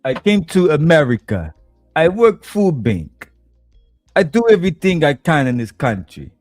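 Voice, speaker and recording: A man's voice: a little high, big pitch swings, measured pace, darkish tone, faint music behind, pitched above the performer's own voice. A man putting on a mock Russian accent to parody a tearful immigrant woman. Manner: exaggerated mocking parody.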